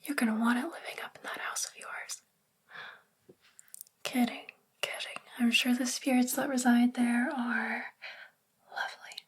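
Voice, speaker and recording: A woman playing a sweet, bookish witch librarian in a soft spoken ASMR roleplay, gentle and soothing in a breathy, whispery voice. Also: fairly high pitch, flat delivery, unhurried, pauses often. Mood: kind, reassuring.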